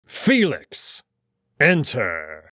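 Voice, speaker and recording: Man voicing a furious old wolf emperor, slow and commanding. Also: medium pitch, wildly swooping pitch, no echo, clean studio sound, heavily acted. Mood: stern, commanding. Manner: slow, forceful, barked commands.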